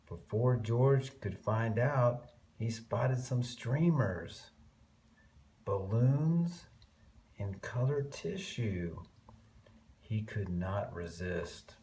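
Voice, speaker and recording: Slow, animated male storybook reader. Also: fairly low pitch, slight background noise. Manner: expressive, drawn-out emphasis on words, murmured asides to listeners. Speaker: children's picture-book reader. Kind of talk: read-aloud storytelling for young children with chatty asides.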